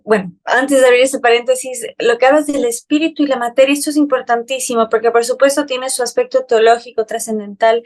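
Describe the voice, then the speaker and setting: A woman from Ecuador hosting an interview over a video call, responding in an engaged, affirming way. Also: a little high. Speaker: host. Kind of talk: interview chat.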